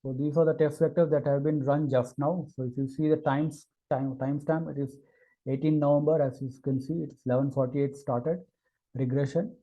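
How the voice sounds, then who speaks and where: Mellow-voiced man presenting a technical demo. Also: fairly high pitch, steady tone, moderate pace, low-quality recording. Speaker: engineer presenting a product demo. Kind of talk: unscripted technical demo narration.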